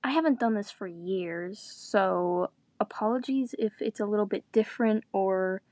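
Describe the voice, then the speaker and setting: Young adult female, informal amateur narrator, self-mocking and prone to giggles, mid-range pitch, close dry recording on a tablet. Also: normal speed, darkish tone, no room sound. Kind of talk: casual read-aloud of a story with self-mocking asides. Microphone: tablet's built-in microphone.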